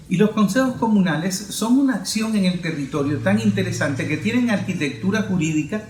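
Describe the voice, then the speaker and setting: A man giving didactic, emphatic political commentary, addressing the listener directly. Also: on the high side. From Venezuela. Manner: didactic, emphatic, rhetorical questions. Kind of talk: explanatory political commentary to camera. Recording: roomy echo, faint background noise.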